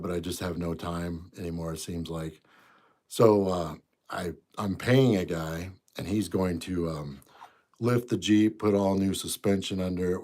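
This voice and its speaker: A man in his fifties, a bit congested, casually chatting on a livestream and answering viewer comments in an easygoing, rambling way. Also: low voice. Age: 50s. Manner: easygoing, rambling, trails off quietly. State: congested, stuffy nose. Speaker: livestream host.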